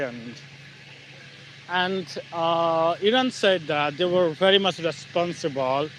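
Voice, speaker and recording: A man with a Bangladeshi English accent talking casually on a live stream. He speaks haltingly, with many fillers and restarts, while walking outdoors in the evening. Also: fairly high pitch, a bit bright, a little hiss or hum, low-quality recording. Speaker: channel host, English learner.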